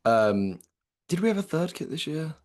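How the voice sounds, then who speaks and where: A man in his late twenties with an English accent and a slightly croaky voice, co-hosting a casual football podcast and chatting with lots of fillers. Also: on the high side, very animated, moderate pace, darkish tone. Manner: chatty, many fillers and restarts. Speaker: podcast co-host.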